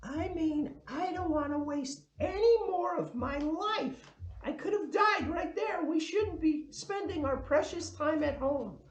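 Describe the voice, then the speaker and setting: A woman playing a giddy, amorous 108-year-old aunt in a theatrical, gushing way at a comedy table read. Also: animated. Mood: perky, exuberant. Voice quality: high-pitched. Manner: slow, theatrical, gushing delivery. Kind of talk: comic film script table read. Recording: echoey room.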